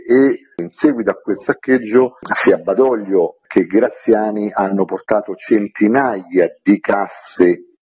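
A man with a fairly low, husky voice answers as an interviewed author. He explains methodically, laying things out point by point. The recording is dull and lo-fi. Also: warm tone. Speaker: author interviewed about his book.

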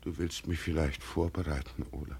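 An actor playing a grief-stricken old peasant father in a German radio play, speaking slowly, husky and wistful. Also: extremely low pitch, fairly even intonation, warm tone, exaggerated voice. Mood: brooding, wistful. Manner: slow, brooding, wistful.

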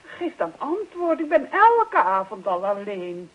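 An actress playing a nagging, neglected wife in a radio play, calling out with persistent, reproachful questions. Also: female voice, medium pitch, big pitch swings, takes it slowly, breathy edge, heavily acted. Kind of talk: Dutch radio play acting. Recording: dull lo-fi old radio recording.

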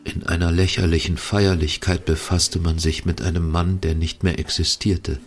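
A man giving grave, measured narration in a literary radio drama. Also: low voice, little pitch movement.

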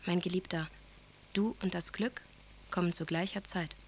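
An actress playing an earnest, ardent young aristocratic bride-to-be, speaking letters solemnly and formally in a German radio play. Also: female voice, on the low side, steady tone, moderate pace, a little hiss or hum, close to an everyday voice. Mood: ardent, pleading.

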